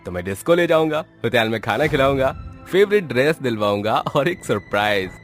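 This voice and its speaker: A man playing a devoted, expressive young husband in a horror story. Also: mid-range voice, fairly quick, soft background music, close to an everyday voice. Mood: affectionate. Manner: expressive, dramatic.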